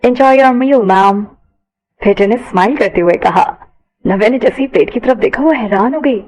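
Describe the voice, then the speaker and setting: A woman's voice: medium pitch, lively intonation, brisk, a little mellow. A woman reading scripted narration for an audio story.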